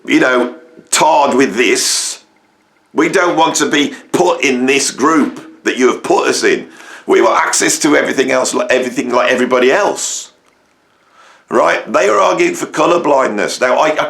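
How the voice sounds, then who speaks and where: Middle-aged English musician-host, gravelly and croaky, mid-pitched, emphatic rambling opinion piece. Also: male voice, a bit bright. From England, near Birmingham. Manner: rambling, emphatic, many fillers and restarts. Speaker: musician and educator, channel host. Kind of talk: opinionated monologue to camera.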